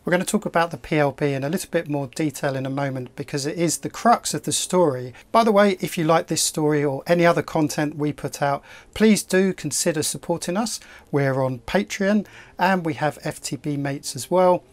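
A middle-aged man co-hosting a sailing channel, narrating and explaining the story in a measured way. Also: on the high side. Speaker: channel co-host. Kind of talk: narration and commentary.

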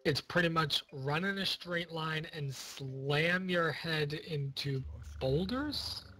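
A man's voice: on the high side, slow pace, breathy edge, low-quality recording. A man co-commentating a speedrun, casually asking the runner questions. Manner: asks questions, relaxed. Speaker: marathon co-commentator. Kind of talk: casual chat.

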